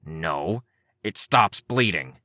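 A surly, hulking mutant man from an audiobook, acted out by a man. He growls in a low register, clipped and irritable by temperament, with very dry sound around him. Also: lively intonation, drawn-out words, pushed and bright, no room sound, exaggerated voice. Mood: growling, irritable. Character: surly hulking rat-footed male mutant, short-tempered.

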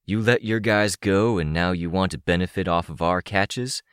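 Narrator doing a gruff, impatient male hero. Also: low-pitched, normal speed, dry recording, studio quality, pitched above the performer's own voice, close to an everyday voice. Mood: irritated, impatient. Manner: barking, accusatory.

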